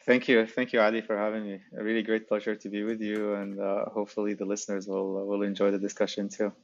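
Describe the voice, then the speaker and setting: Low male voice, slightly muffled recording. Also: completely flat delivery, fast talker. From Algeria. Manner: informal, frequent filler "like". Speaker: podcast guest, startup founder. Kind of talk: casual conversational interview answers.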